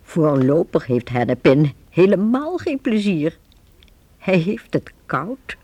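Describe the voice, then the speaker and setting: A woman narrating a radio play in a calm storytelling manner. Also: fairly low pitch, slow, a little hiss or hum.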